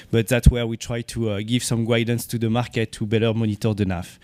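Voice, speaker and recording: A man with a French accent presents at a conference, pausing often and restarting his sentences. His voice is smooth and resonant, and the sound is dry and close. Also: mid-range voice, a little mellow, dry recording. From France. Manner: halting, many fillers and restarts. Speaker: conference speaker, company CEO. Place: conference venue.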